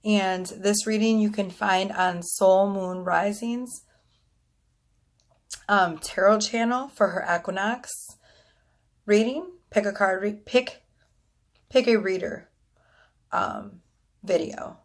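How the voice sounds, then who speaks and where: A woman's voice: medium pitch, fairly even intonation, slow pace, pauses often. A woman with a scratchy, congested voice reading tarot casually to a phone camera, rambling with many ums and whispered asides. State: congested from allergies. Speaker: tarot reader.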